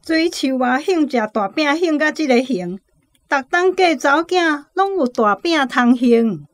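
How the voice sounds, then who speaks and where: Teasing taiwanese village neighbour woman, high voice, dry sound, radio drama. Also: animated, measured pace, dry recording.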